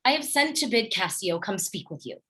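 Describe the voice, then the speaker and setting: An actress playing a gentle, trusting young noblewoman and wife, speaking earnest, courteous lines in a Shakespearean radio play. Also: medium pitch, very animated, fairly quick, husky. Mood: gentle courtesy.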